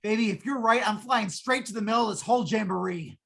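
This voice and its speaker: A woman playing a frantic, hapless working man in an old-time radio drama, stammering and flustered in a husky voice. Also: mid-range voice, fast, very noisy, close to an everyday voice. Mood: determined.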